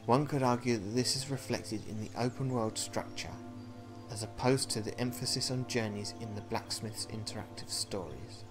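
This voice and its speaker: A man playing a video game, reading its text aloud and thinking out loud with casual asides. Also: mid-range voice, moderate pace, music in the background. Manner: thinking aloud between read passages. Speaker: video game player and commentator.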